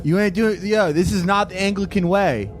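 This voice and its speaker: A man's voice: high-pitched, normal speed, faint music behind. A brash man improvising comic role-play in street slang as a player in a tabletop game.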